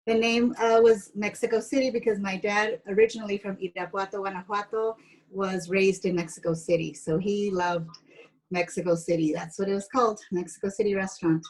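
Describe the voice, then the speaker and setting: A woman's voice: mid-range voice, fairly even intonation, fairly quick, low-quality recording. A woman in her fifties from San Jose speaks as an interview guest with a mid-range voice. She explains things in a chatty, casual storytelling style. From San Jose, United States. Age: 50s. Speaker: interview guest, educator and former pastry chef.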